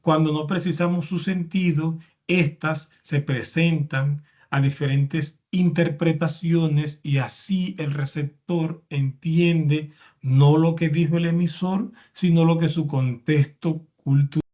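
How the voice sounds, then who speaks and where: A man's voice: a little high, fairly even intonation, slow pace, dark tone. A man giving a recorded university lecture, explaining step by step and often stumbling over repeated words and fillers.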